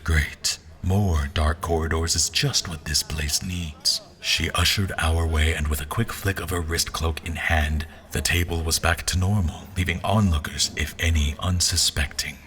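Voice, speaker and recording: Deep-voiced, brooding general. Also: male voice, steady tone, fairly bright tone. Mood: dry sarcasm. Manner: brooding, deliberate, literary. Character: troubled, sardonic male general narrating his memories. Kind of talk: dramatic scripted first-person narration. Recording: music bed under narration.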